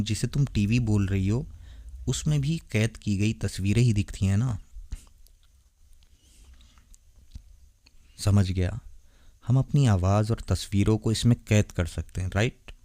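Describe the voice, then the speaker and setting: Man acting deep, dark-voiced intense vampire boyfriend. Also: no echo. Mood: amazed. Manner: earnest, dramatic, puzzled questioning. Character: young male vampire boyfriend, naive, intense, protective. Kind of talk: romantic ASMR roleplay audio drama in Hindi.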